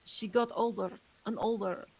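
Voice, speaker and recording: A woman reads a vampire who has outlived everyone she loved, speaking in a measured, somber way. Her voice sits at an ordinary middle pitch, and the tone stays guarded and dry. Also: steady tone, a little mellow, husky, poor audio. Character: ageless female vampire, guarded and remorseful. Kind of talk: table read.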